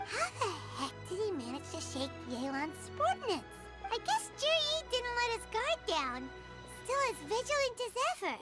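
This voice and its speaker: Squeaky childlike fairy companion. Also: female voice, moderate pace, heavily acted. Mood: baffled. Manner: squeaky, exclamatory, expressive. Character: tiny excitable childlike floating fairy companion, female. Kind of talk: video game voice acting. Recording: loud game music over voice.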